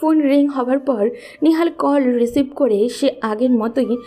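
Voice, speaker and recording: A woman's voice: high voice, steady tone, unhurried, dark tone. A woman narrating a scripted romantic story in a storyteller's manner. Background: faint background music.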